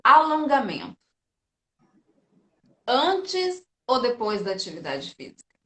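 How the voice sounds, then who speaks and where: Uma fisioterapeuta e professora brasileira numa conversa ao vivo descontraída, que conta histórias de forma animada. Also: female voice, fairly high pitch, slow, reverberant. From Brazil. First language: Portuguese. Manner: lively storyteller, animated quoting. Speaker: physiotherapist and teacher. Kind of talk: casual live-stream interview.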